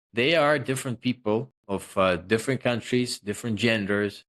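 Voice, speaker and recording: A man's voice: lowish voice, fairly bright tone. A man from Russia, a guest consultant, talking loosely and jokily in an interview, with many fillers. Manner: loose, joking, self-promoting, many fillers. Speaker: guest, social selling consultant. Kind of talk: casual interview talk.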